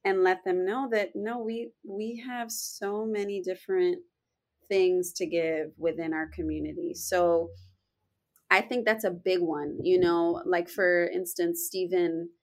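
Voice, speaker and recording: Earnest Puerto Rican woman, low voice. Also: measured pace. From Puerto Rico heritage. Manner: earnest, animated, many "you know" fillers. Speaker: actress, interview guest. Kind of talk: casual interview chat.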